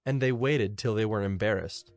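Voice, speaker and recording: A man narrating scripture in a solemn, measured storytelling manner. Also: fairly low pitch. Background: music.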